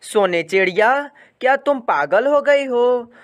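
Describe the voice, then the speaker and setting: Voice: medium pitch, expressive, unhurried, lightly acted. A narrator voicing a practical young male bird in a cartoon story, speaking in a firm, matter-of-fact manner. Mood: firm refusal. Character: practical young male bird, firmly refusing a friend's proposal.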